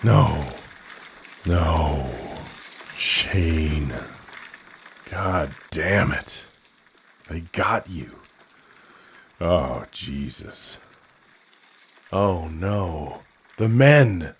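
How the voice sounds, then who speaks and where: Guy playing a brooding young soldier, husky and slow, earnest war drama stuff. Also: deep voice, expressive, full character voice. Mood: anguished grief. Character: brooding, invulnerable young American soldier, fiercely protective. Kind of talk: dramatic audio drama acting.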